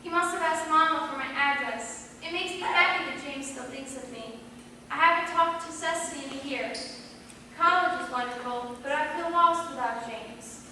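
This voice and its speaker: Captured from a distance in a very reverberant hall, a woman acts a female character in an amateur stage play. The delivery is theatrical and very high in pitch, with some noise on the recording. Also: normal speed, sharp tone. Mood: wistful. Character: teenage girl reading a diary aloud, and her stern, wounded aunt. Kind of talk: amateur one-act stage play acting.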